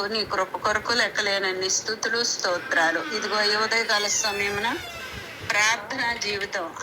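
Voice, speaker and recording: An Indian woman preaches in an earnest, deliberate devotional style, repeating key phrases on a conference call. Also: mid-range voice. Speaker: preacher leading a prayer meeting. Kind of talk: devotional sermon with scripture reading and prayer. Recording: noisy conference call recording.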